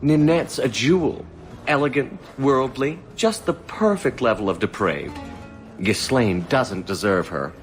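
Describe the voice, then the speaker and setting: Actor as cocky, lively young elf courtesan. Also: male voice, medium pitch, a little slow, faint music behind, close to an everyday voice. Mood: teasing, scornful. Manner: sly, teasing, sardonic. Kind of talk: dramatic video game dialogue.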